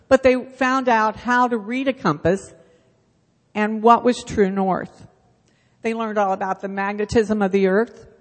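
Chatty middle-aged woman in a reverberant church. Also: medium pitch. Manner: chatty, self-deprecating storytelling. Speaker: church Bible school leader. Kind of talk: casual church announcement and talk. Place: church sanctuary. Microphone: handheld microphone. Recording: long reverb of a church hall.

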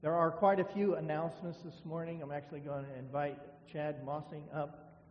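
A man's voice: a little high, little pitch movement, brisk, slight background noise. A male pastor giving an informal welcome at the start of a church service. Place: inside a church. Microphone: church microphone. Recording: muffled, echoey church hall sound.

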